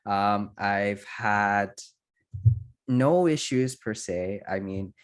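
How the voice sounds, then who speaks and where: A man in his twenties with a somewhat low voice, speaking in a relaxed interview. He keeps it plain and easygoing, with some fillers. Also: extremely slow. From Canada. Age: 20s. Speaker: interviewee, engineer. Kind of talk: casual interview storytelling.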